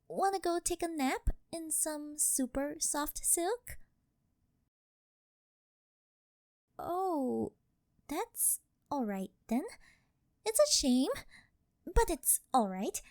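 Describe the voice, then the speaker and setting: A woman acts out a wealthy older yandere girlfriend who dotes and clings. Her voice sits high and she takes her time, sweet-talking with a possessive edge. It is an intimate ASMR roleplay with a very dry sound. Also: a little mellow, dry recording. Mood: coaxing, affectionate, then gently disappointed. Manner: coaxing, sweet-talking, apologetic with possessive undertone.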